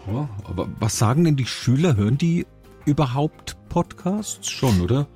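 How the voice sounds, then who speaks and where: A man presenting a live radio show from a studio, chatting casually and tossing quick questions to a reporter. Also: medium pitch, soft background music. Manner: relaxed, conversational, quick questions. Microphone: studio microphone.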